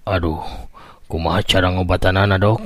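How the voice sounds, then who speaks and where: A man's voice: deep voice, steady tone, normal speed, no echo, natural voice. Man acting a worried, deferential Sundanese man. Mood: anxious. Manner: hesitant, respectful questioning.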